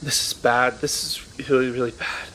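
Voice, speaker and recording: Man playing a bright, forceful radio news anchor. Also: mid-range voice, flat delivery, moderate pace, slight background noise, close to an everyday voice. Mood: grave, rattled. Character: earnest, oddly priority-confused male radio news anchor. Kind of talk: scripted breaking-news anchor acting.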